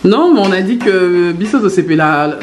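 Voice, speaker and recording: A woman's voice: fairly low pitch, animated, moderate pace, a little hiss or hum. A woman chatting beside a cook at home, teasing him and talking to viewers. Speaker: co-host filming the cooking. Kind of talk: casual chat beside the cook. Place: home kitchen. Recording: echoey kitchen with music playing.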